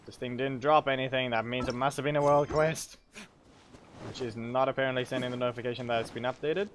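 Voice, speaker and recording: A man's voice: medium pitch, steady tone, fast, a little hiss or hum. A man doing casual live gameplay commentary, rambling and thinking aloud as he streams. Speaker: game streamer. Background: game music.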